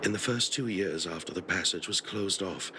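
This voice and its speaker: A man's voice: deep voice, normal speed, noisy background, close to an everyday voice. An actor playing a loyal, courteous older male caretaker of a family, speaking formally and politely. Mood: earnest. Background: game music.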